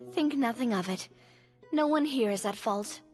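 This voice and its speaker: An actress playing a calm, composed swordswoman who speaks in a measured, gentle way. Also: a little high, soft background music. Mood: wistful. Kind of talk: game voice acting. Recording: long hall reverb.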